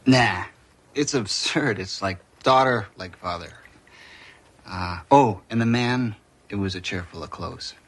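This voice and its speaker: A middle-aged man playing a gentle, playful father in a naturalistic TV drama, tender and coaxing. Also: on the low side, a little slow. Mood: shaken, subdued. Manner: tender, coaxing, often hushed. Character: gentle, playful father soothing his frightened child.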